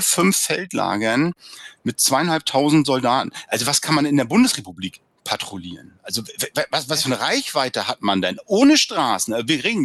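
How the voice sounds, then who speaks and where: A man's voice: mid-range voice, fairly bright tone, no room sound. A man, an author and former soldier, answers an interview question in a rambling, reflective way, full of fillers and tag questions.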